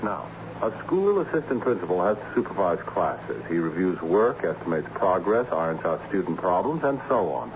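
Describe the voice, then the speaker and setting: An actor playing a harried, ironic assistant principal in an old-time radio drama, theatrical and patiently explanatory. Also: male voice, sits low, very animated, moderate pace. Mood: patient, earnest. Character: harried, excitable male school assistant principal with ironic humour. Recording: dull, noisy old radio recording.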